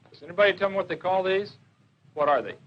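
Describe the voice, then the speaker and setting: A man's voice: sits high, lively intonation, fast talker, lo-fi sound, slight background noise. A man giving an informal classroom slide lecture as a police drug educator, on a 1970s educational film soundtrack. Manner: questioning, repeats and confirms answers.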